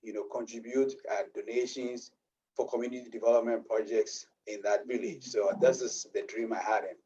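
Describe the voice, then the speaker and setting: A man with a Ghanaian accent, a business owner answering interview questions in a steady, earnest way. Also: mid-range voice, normal speed, distant mic, muffled recording.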